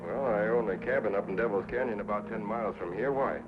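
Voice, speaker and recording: A man plays an upright, plain-spoken newspaperman in a 1950s television Western. His voice is fairly low, earnest and stubborn. The old soundtrack is slightly dull, with faint music behind. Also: fast, close to an everyday voice. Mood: stubborn, concerned.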